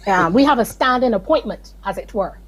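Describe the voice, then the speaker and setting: A woman's voice: a little high, animated, fairly quick, a little hiss or hum, low-quality recording. A Barbadian woman, a guest on a morning show, speaking earnestly and with emphasis. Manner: earnest, emphatic, pleading in places. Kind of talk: video call interview.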